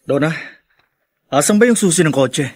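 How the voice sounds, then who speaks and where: High, raspy Filipino gambler husband. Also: male voice, lively intonation, moderate pace, a little mellow, no room sound. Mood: defiant, threatening. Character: defiant, menacing gambler husband, adult son. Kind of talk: Filipino radio melodrama acting.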